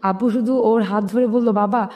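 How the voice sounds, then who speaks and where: A woman's voice: medium pitch, normal speed. A woman with a Bangladeshi accent telling her personal story in an interview, acting out conversations and mixing in some English words. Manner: animated retelling with quoted family dialogue, English words mixed in. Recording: long hall reverb, music underneath.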